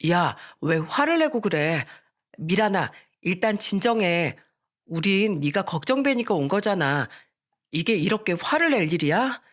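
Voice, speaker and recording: A woman's voice: lowish voice, very animated, unhurried. A woman voicing a teenage friend in a dramatized story who plays peacemaker, placating but blunt. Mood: calming, chiding.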